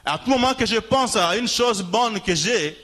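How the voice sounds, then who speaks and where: A man interpreting a sermon live, phrase by phrase, in a halting way, often repeating and rephrasing himself. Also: sits high, expressive, a bit bright.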